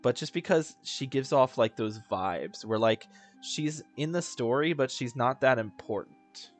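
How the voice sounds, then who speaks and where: A man's voice: mid-range voice, animated, a little slow, soft background music. A young man giving casual, chatty reaction commentary while playing a game, thinking aloud and laughing. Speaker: gaming streamer.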